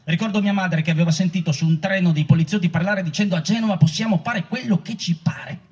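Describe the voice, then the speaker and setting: An actor playing a former protester in a dramatic reading of oral history testimony. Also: male voice, high-pitched, fast, darkish tone, slightly rough. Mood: grave, remembering. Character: male former protester recalling arrest and chaos.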